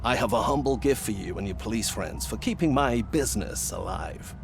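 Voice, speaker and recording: An actor playing a wary, self-assured prison warden in a video game, speaking in a deliberate, conspiratorial manner. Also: male voice, on the low side, expressive, creaky, noisy background. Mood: wary, suspicious. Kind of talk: video game cutscene acting.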